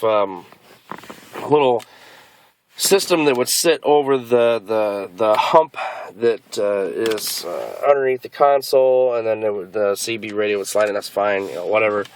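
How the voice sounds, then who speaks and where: A man's voice: fairly low pitch, clean tone, no echo. A man giving a casual, unscripted video walkthrough as a hobbyist, explaining in a hesitant, rambling way. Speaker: car hobbyist presenting a DIY install.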